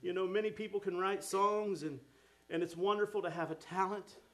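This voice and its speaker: Fervent preacher man, raised pitch. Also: fairly even intonation, fairly quick, a bit bright. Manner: fervent, exclaims "oh my" and "amen". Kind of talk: sermon.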